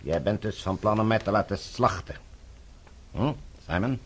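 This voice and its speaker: An actor playing a haughty, scheming diplomat in a radio play, formal and suave with an indignant edge. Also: male voice, lowish voice, animated, normal speed, husky. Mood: wary.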